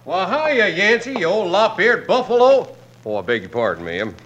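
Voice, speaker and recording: An actor playing a genial, rough rustler turned saloon keeper, with folksy drawling cowboy talk in 1940s radio western style. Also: male voice, sits high, big pitch swings, moderate pace, fairly bright tone, slight background noise. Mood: jovial. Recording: muffled 1940s radio drama recording.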